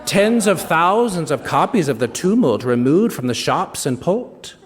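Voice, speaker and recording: Reverberant hall, self-satisfied older male writer, wry short story narration, hugely animated intonation, theatrical emphasis. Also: a little high, a little slow. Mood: wry relish. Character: smug ageing novelist recounting his betrayal of a friend. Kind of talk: dramatic short story reading on stage. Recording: reverberant hall, live stage reading.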